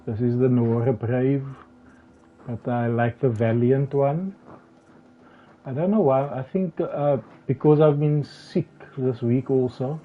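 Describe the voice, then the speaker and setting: Slow, halting hoarse man streaming a game. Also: mid-range voice. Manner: halting, many restarts and fillers. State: slightly hoarse. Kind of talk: live gameplay commentary. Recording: tinny phone-like sound with faint music.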